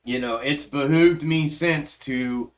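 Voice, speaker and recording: A man's voice: mid-range voice, slow. Profane, emphatic stock-stream host, man. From grew up in western Pennsylvania, adult life in the South. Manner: profane, emphatic, restless, trails off while working charts. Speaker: stock market livestream host. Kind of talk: casual live stream chat and chart commentary.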